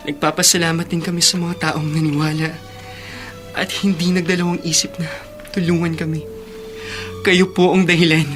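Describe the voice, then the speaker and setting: An actor playing an earnest, grateful, forgiving young nephew in a Filipino radio drama, respectful and sincere. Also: male voice, fairly high pitch, level tone, normal speed, long pauses, fairly bright tone, faint music behind, close to an everyday voice. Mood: earnest gratitude.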